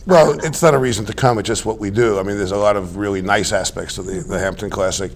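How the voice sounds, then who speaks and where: A man, a guest telling stories in a relaxed, rambling way with many restarts. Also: on the low side, fast. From Staten Island, New York. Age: 60s. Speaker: interview guest. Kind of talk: casual interview chat.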